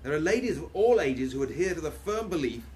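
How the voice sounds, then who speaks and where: An actor playing a proper, protective Victorian gentleman professor in a radio play, speaking formally and earnestly. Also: fairly high pitch, big pitch swings, fairly quick. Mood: fussy, exasperated. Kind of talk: steampunk radio play acting.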